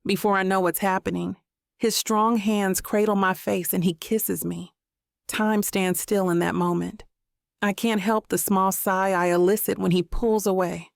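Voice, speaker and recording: A woman's voice: mid-range voice, steady tone, unhurried, gravelly, no room sound, clean studio sound. A woman reading a first-person romance audiobook as scripted narration.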